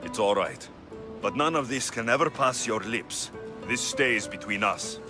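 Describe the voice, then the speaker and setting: An actor playing a weary, earnest Greek mercenary demigod in a video game cutscene, firm and measured. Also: male voice, on the low side, expressive, music in the background. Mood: tired, firm.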